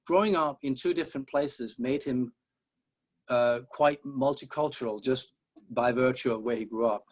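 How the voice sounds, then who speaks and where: A middle-aged man from Denmark with a Danish accent, giving a college music lecture in a discursive, wry manner with self-deprecating jokes. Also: mid-range voice. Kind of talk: opening class lecture.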